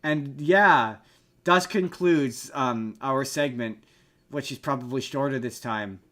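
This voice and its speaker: A man's voice: medium pitch, slow pace, sharp tone. A man hosting a podcast, chatting casually about film and TV in a rambling, jokey way and often repeating himself. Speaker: podcast host.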